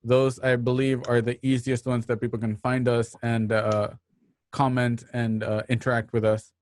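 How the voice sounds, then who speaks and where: A man's voice: medium pitch, level tone, normal speed, a little mellow, dry recording. Male radio host, dry close sound. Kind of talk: casual show sign-off and announcements.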